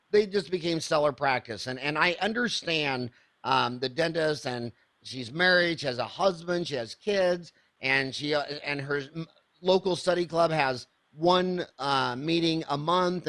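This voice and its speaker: A man's voice: fairly high pitch, fairly bright tone. A man in his fifties hosting an interview podcast, enthusiastic and rambling, with many restarts. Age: 50s. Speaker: podcast host. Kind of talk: casual interview.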